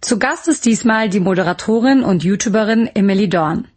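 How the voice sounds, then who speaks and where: A young adult woman from Germany hosting a radio interview show, presenting clearly and in a friendly way. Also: mid-range voice, fairly quick, a little mellow, soft background music.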